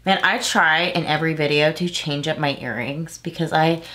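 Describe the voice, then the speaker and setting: Low-voiced chatty woman, bit stuffy. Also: fairly even intonation, moderate pace, background noise. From Houston, Texas. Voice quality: slightly nasal, stuffy. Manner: chatty, rambling, self-correcting. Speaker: beauty vlogger. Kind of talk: casual makeup tutorial chat. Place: small room at home, indoors.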